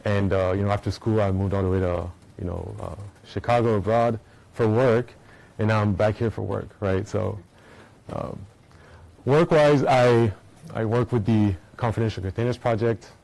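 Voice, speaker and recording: Male conference presenter from Belgium, deep and warm, casual explainer with plenty of fillers. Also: steady tone, normal speed, vocal fry, poor audio. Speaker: conference speaker. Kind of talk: technical conference talk. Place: conference room with an audience. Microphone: small recording mic.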